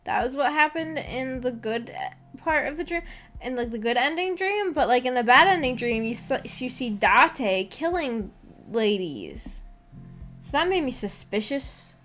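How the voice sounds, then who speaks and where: A woman's voice: high voice, lively intonation, a little slow, a bit bright. A woman streamer chatting casually with viewers in a giggly, self-deprecating, rambling way. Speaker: VTuber streamer and host. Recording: background music behind the voice. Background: music.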